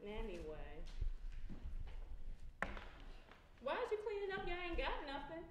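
Woman acting sassy high-pitched sister on stage. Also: wildly swooping pitch, fast talker, recorded from a distance, full character voice. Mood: mocking. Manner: sassy, blunt, teasing. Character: sassy, blunt, boastful sister of an abused woman. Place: indoor theater stage living-room set. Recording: noisy, echoey live theater stage.

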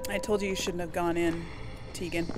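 A woman's voice: lowish voice, flat delivery, brisk, background noise. A woman hosting a gaming video, giving casual commentary and role-playing along. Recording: game music under the voice. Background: game music.

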